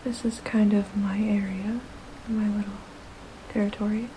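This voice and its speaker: A woman voicing a gently protective, motherly vampire in a soft, breathy ASMR whisper, casual and soothing. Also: medium pitch, flat delivery, a little hiss or hum, lightly acted, low-quality recording. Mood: gentle, reassuring. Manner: hesitant, casual, soothing, unhurried.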